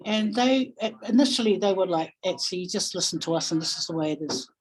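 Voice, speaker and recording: A woman with a New Zealand accent speaking reflectively in a webinar, pausing thoughtfully and searching for her words. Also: lowish voice, animated, darkish tone, breathy edge, rough recording. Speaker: guest webinar speaker, family violence practitioner.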